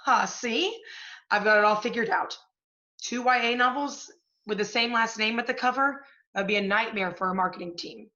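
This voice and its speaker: A woman's voice: fairly high pitch, moderate pace, sharp tone, dull audio. A woman playing a brash, scheming, profane literary agency boss in a stage play. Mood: triumphant, scheming. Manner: pushy, profane, salesy. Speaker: stage actor. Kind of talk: theatrical one-act stage play acting.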